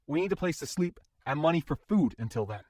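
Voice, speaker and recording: A man's voice: a little high, lively intonation, fast talker, darkish tone, no room sound, clean studio sound. A man playing a polite, level-headed adventurer with formal wording in a fantasy audio drama. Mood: reasoning, sympathetic.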